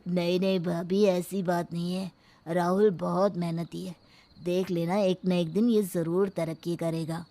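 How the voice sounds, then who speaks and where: A woman's voice: lowish voice, little pitch movement, a little slow, mellow, pitched below the performer's own voice. A woman voicing a loyal young male friend in a scripted story, placating and reassuring.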